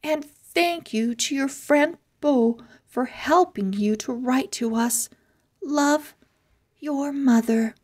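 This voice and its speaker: A woman voicing a caring mother's letter to her young son in a children's storybook, gently and carefully. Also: high-pitched, slow, pitched above the performer's own voice. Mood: tender.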